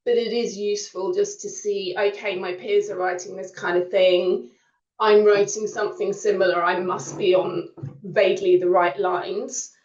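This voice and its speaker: A woman's voice: mid-range voice, little pitch movement, normal speed, a little mellow, distant mic. A woman talks informally as a student at an online open day, in a reflective, explanatory way. She sounds set back from the microphone in a roomy space. The call audio is slightly dull. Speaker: creative writing student on a panel. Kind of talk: informal testimonial talk at a virtual open day. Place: indoor room on a video call.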